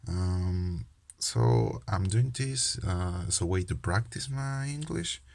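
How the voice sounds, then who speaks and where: A young Peruvian man with a Peruvian Spanish accent talks casually to his channel viewers. His voice sits very low, and he hesitates often, pausing on fillers and restarting his sentences. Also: expressive, creaky, a little mellow. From Peru. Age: young adult. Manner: hesitant, many fillers and restarts, self-deprecating. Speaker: channel host, medical student. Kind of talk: casual card game video talk.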